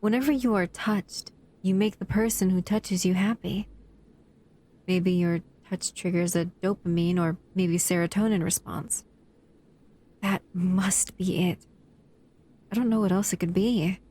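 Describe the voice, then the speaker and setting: A woman voicing a powerful shadow monster who is possessive yet tenderly protective, speaking softly and hesitantly in an intimate roleplay addressed to the listener, often near a whisper. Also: lowish voice, steady tone, unhurried. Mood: intrigued, possessive. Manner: soft, soothing, hesitant, often dropping into whispers.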